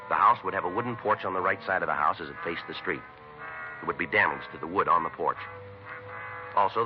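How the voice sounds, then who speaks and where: A man playing a stoic, businesslike police sergeant in a 1950s radio drama, narrating the case in clipped, deadpan American speech. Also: sits low, natural voice. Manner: deadpan, terse, matter-of-fact. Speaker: lead actor and narrator. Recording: dull vintage radio recording with music.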